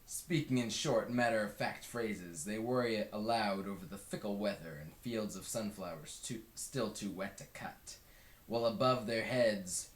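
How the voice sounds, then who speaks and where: A man reads a book aloud as narrator. His voice sits on the low side. The home recording is roomy, with clear echo around the words. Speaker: read-along narrator.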